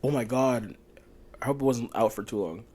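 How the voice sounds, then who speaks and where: A young man hosting a podcast in casual chat, animated and joking, often calling people bro. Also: mid-range voice, moderate pace. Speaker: podcast host.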